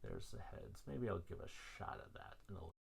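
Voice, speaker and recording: A man giving a casual, hands-on gear demonstration in an informal, rambling, self-deprecating way, with a gravelly, husky voice. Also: low voice, huge pitch swings, brisk. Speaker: vlog host.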